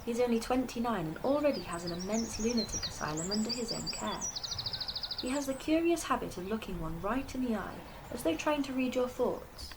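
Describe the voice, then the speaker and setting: Chatty young gentlewoman over noisy birdsong. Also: on the high side, lively intonation, a little fast. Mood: admiring, gossipy. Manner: chatty, genteel, admiring. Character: genteel young lady, sociable, admiring, affectionate friend. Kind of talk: radio drama acting.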